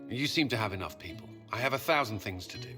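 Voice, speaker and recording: A man playing a dutiful military commander with dry humour in a video game, talking in a measured, formal way. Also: lowish voice, animated, music in the background, close to an everyday voice. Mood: reluctant, busy. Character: dutiful, dryly humorous male military commander. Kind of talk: video game cutscene acting.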